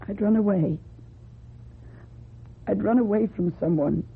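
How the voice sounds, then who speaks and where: A woman acting in a radio drama as a timid, guarded young runaway, speaking in a subdued, husky and breathy voice. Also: medium pitch, measured pace, heavily acted. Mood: confessing, uneasy. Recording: muffled, phone-like old radio recording.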